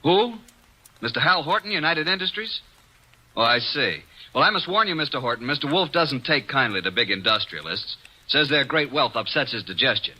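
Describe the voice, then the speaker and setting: A man's voice: medium pitch, animated, normal speed, bright, forceful tone, slightly rough, exaggerated voice, poor audio. An actor in a 1940s radio drama playing a wisecracking male assistant detective who talks breezy and cocky. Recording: old radio transcription.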